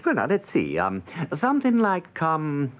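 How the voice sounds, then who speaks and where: An actor playing an affable, foppish upper-class English newcomer at an office, chortling and hesitant in a radio drama. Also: male voice, fairly high pitch, wildly swooping pitch, measured pace, fairly bright tone, heavily acted. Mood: eager, puzzled. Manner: hesitant, chortling silly-ass patter with old-fashioned slang.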